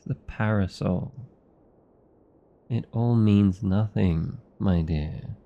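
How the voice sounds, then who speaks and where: A man with a British accent playing a haughty, weary vampire lover in an intimate ASMR roleplay, speaking in a deliberate, theatrical, condescendingly affectionate way. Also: low-pitched, creaky, mellow, dull audio, heavily acted. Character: possessive, weary male vampire lover, haughty toward mortals.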